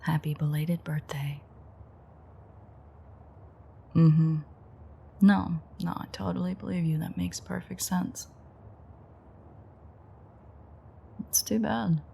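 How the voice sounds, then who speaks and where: A woman's voice: lowish voice, fairly even intonation, measured pace, warm tone, a little hiss or hum. A woman playing a playful, flirtatiously menacing ancient vampire in an intimate roleplay audio drama, murmuring wryly and often close to a whisper. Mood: mock-sweet, teasing. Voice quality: breathy, husky, often near-whisper. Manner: teasing, wry, murmured.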